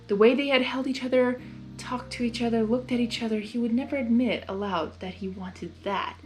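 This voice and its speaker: A woman reading fan fiction aloud as host, in an expressive storybook narration style. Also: on the high side, brisk, soft background music. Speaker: fanfiction reader and channel host.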